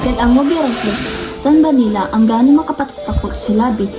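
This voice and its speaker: Eerie female warning-giver played by a young woman, Pangasinan accent, fairly high pitch, radio play with strong music bed. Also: fairly quick, lightly acted. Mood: eerie, foreboding. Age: young adult. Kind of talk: student radio drama acting. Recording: loud music bed under voice.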